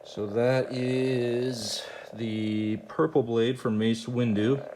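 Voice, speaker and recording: Casting: male hobby presenter, mid-pitched, offhand and informal, clear background noise. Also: steady tone, extremely slow. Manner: informal, talks to himself while working. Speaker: video presenter demonstrating a gadget. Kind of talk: casual hands-on demonstration.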